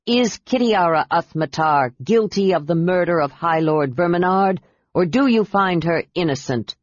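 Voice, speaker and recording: Woman doing a low-voiced, merciless male emperor. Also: expressive, moderate pace, no echo, dull audio. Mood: stern. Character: commanding, merciless male emperor passing judgment. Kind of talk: audiobook character voice.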